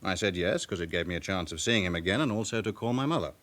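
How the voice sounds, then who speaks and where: A man's voice: low-pitched, expressive, fast talker, a bit bright. An actor playing a respectable businessman with a past in a radio drama, speaking formally, with controlled and faintly haughty pride. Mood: guarded, courteous. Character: smooth respectable businessman with a past, guardedly proud. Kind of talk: naturalistic radio drama acting.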